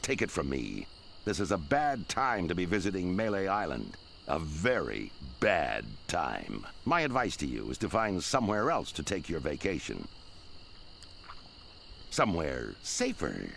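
A man playing a menacing, smug sheriff in a pirate video game, gravelly and condescending. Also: low voice, huge pitch swings, a little slow, fairly bright tone, a little hiss or hum, heavily acted. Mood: smug warning.

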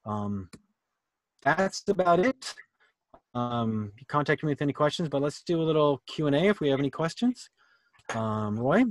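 A man's voice: a little high, very animated, moderate pace, no room sound. A middle-aged man presenting informally on a video call in an encouraging, conversational way. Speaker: workshop presenter. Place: indoor room on a video call. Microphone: computer mic on a video call.

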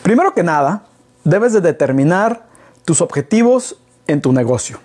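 A man's voice: sits high, very animated, moderate pace, a little mellow, breathy edge. A middle-aged Mexican man, a business consultant, explaining things to camera from an armchair in a living room, asking rhetorical questions as he goes. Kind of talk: casual business talk to camera. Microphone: far camera.

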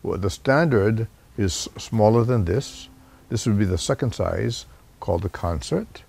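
An older man from Honolulu, seated indoors, explaining ukulele sizes in a measured, methodical way. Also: fairly low pitch, vocal fry, darkish tone, breathy edge. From Honolulu, Hawaii. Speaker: interviewee. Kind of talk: explanatory interview.

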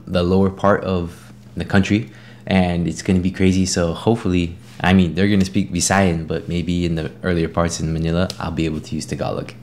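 A young man from California talks in a very low, relaxed voice in a casual vlog, often trailing off quietly at the ends of phrases. There is a faint hiss behind him. He is recorded in a small living room on a camera mic. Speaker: vlog co-host.

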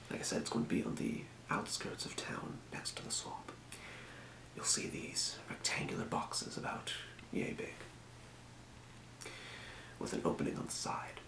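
Potion merchant male performer, fantasy ASMR: very low gravelly whisper, unhurried, businesslike. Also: roomy sound, full character voice, low-quality recording. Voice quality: breathy deep whisper. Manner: murmuring, unhurried, muttering to himself. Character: helpful old-world fantasy potion shopkeeper, quietly businesslike. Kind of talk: ASMR role play. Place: small room, indoors at a desk.

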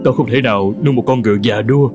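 A man voicing a cold, grudging farmer in a cartoon folk tale, gruff and dismissive. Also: mid-range voice, fast talker, close to an everyday voice. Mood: dismissive, impatient. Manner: gruff, dismissive, sighing reluctantly. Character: cold, grudging male farmer, master of an old horse. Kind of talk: animated folk tale dialogue. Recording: music under the voice.

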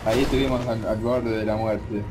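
Swearing Argentine gamer over game music. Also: male voice, lowish voice, fairly even intonation, brisk. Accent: Rioplatense Spanish. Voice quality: swears freely, laughs a lot. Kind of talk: casual gaming chat.

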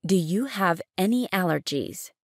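A woman with a gravelly, slightly low voice reads short English phrases for learners. She speaks very slowly and says each phrase three times. The sound is close and dry. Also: expressive, dry recording. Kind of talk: slow repeated phrase reading.

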